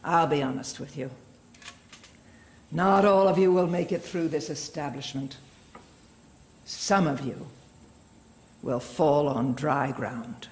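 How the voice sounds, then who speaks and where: An actress playing a stern, zealous indoctrinator who lectures captive women in a deliberate sermonizing manner in an audio drama. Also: female voice, sits low, a little hiss or hum. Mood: solemn, instructing. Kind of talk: audio drama acting, preachy speech.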